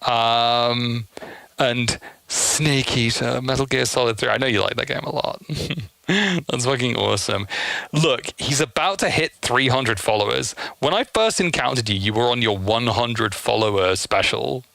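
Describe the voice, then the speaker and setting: A man's voice: medium pitch, a little slow, gravelly, bright, forceful tone, dry recording. A man hosting a live game stream, chatting casually and effusively with his viewers and swearing freely. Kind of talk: casual livestream chat.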